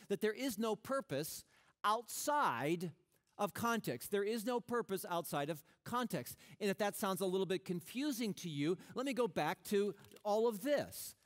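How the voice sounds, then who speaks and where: A middle-aged man preaching a sermon on a church stage, animated and conversational, often restarting his sentences. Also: high voice, moderate pace. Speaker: pastor.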